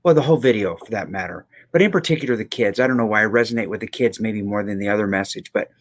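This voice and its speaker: A man's voice: on the low side, fast talker. A man co-hosting a podcast in casual, reflective conversation, earnest and given to repeating words for emphasis. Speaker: podcast co-host.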